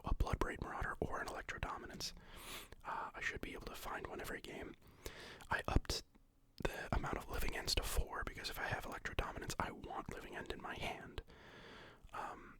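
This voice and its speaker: Breathy whispering ASMR explainer, sniffly from a recent illness, halting, full of fillers. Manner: rambling, halting, many fillers and restarts. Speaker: card game deck explainer. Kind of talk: whispered ASMR deck walkthrough.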